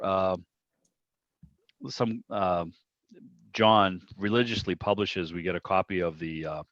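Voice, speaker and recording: A man's voice: sits low, fairly bright tone, dry recording. A man hosting a club video meeting, chatting casually and wandering from one thought to the next. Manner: rambling, digressive, conversational. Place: remote video call. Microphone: video call microphone. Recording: dull, muffled video-call audio.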